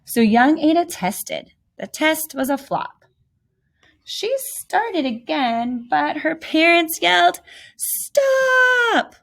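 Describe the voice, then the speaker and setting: A woman's voice: sits high, lively intonation, slow, creaky. A woman reading a children's picture book aloud with theatrical emphasis and little asides to young listeners. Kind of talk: expressive read-aloud storytelling.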